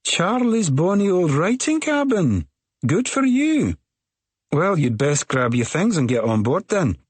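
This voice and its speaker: A man's voice: high-pitched, sing-song, measured pace, husky, no echo, pitched above the performer's own voice, exaggerated voice. A man voicing a tall, weathered, middle-aged Scottish ferry woman who speaks in a curt, matter-of-fact way. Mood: wry.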